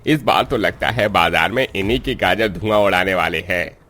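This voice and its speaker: A man voicing a gruff, dismissive village man in a cartoon story. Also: low-pitched, moderate pace, pushed and bright. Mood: envious. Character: stingy landlord and envious farmer in village. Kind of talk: Hindi cartoon dialogue.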